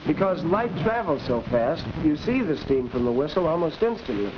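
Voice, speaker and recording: A man's voice: fairly high pitch, sing-song, moderate pace, dull audio. An actor playing a patient young American man who explains science didactically in a 1940s instructional film. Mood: explanatory. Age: young adult. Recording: noisy 1940s film soundtrack.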